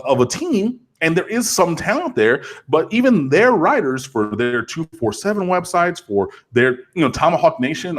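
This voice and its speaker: A man with a slightly husky voice talks college football on a casual podcast. He rambles and gets animated, with plenty of fillers as he builds his points. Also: on the high side. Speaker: co-host, Miami fan. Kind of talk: casual sports podcast chat.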